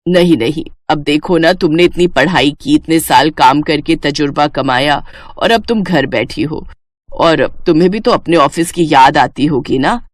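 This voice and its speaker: A woman's voice: deep voice, moderate pace, no echo, studio quality, pitched below the performer's own voice. Woman as a coaxing older mother-in-law. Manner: hesitant, coaxing, repeating herself. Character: older mother-in-law, proud but now conciliatory, coaxing.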